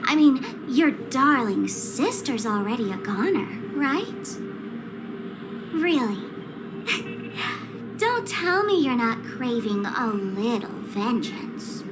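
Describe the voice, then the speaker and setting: A playful, irreverent trickster woman from a video game, taunts in a high, very lively voice. She is theatrical and flippant, drawing out her teasing over loud background noise. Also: takes it slowly. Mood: goading. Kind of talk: scripted dramatic video game cutscene dialogue.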